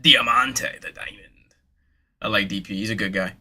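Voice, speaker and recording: Young guy hosting a card break stream, kinda nasal, super bright voice, hyping up chat. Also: lowish voice, normal speed. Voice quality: slightly nasal. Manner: hyped, slangy, talks straight to viewers. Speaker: live card break host. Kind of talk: casual livestream chatter.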